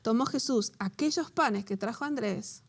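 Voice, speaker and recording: Animated high-voiced woman teaching scripture. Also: moderate pace. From Latin America. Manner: animated, laughs often, emotional when recalling personal story. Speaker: co-host of a Bible study show. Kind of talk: conversational Bible teaching.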